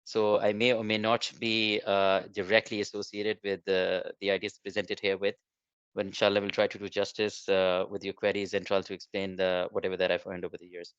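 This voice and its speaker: A middle-aged man whose first language is Urdu gives a webinar presentation, halting with many fillers and restarts. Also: sits low, level tone, fairly bright tone, clear, resonant tone, no echo. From Pakistan. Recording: dull lo-fi online call.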